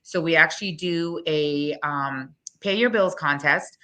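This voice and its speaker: Bright-voiced blunt Guyanese woman. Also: low voice. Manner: blunt, fast-flowing, emphatic. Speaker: business owner guest. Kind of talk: interview, promotional pitch.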